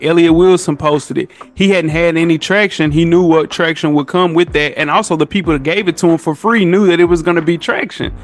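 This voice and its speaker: A man chatting casually on a podcast, opinionated and emphatic, using slang and repeating his points for stress. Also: a little high. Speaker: podcast guest.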